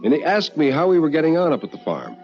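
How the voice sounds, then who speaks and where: A man reading stories in a measured, formal old-time radio storytelling style. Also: on the high side, wildly swooping pitch. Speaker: story reader. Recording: muffled radio recording, faint music.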